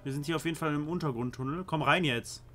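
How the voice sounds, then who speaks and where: A man's voice: fairly high pitch, fast, bright, forceful tone. Brief: young male let's player, slangy and easygoing, game music faint under him. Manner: slangy, laid-back, says "easy" and "Alter". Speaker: let's play host. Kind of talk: casual gaming chat.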